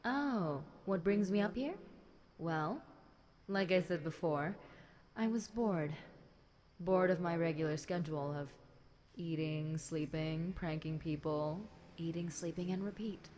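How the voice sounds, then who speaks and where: Slow-talking, teasing demon girl, acted by a woman. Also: lowish voice, a little mellow. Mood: relaxed, friendly. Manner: casual, teasing, conversational with light chuckles. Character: bored, chatty centuries-old demon girl, teasing and smug. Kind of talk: audio roleplay addressed to the listener. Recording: clear background noise.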